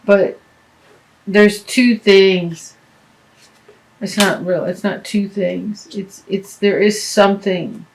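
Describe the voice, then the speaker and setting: A woman giving a tarot reading, losing her voice, talking in a halting way with many fillers and restarts. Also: medium pitch, steady tone, low-quality recording. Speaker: tarot reader.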